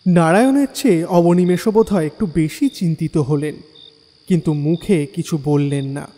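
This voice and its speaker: A man's voice: on the high side, normal speed, dark tone. A man narrating a scripted horror story in the first person, with a reverberant sound. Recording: long reverb. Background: faint music.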